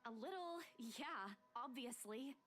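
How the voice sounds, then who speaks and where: A woman playing a stubborn, flustered young female estate agent in a visual novel game, defensive and expressive. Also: on the high side, slow. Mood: resigned. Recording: music behind the voice.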